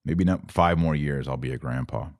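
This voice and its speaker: Male podcast host, mid-forties. Low, blunt and opinionated with a curmudgeonly edge, casual delivery, dry close sound. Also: moderate pace, no echo. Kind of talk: casual livestream talk, reading and answering viewer comments.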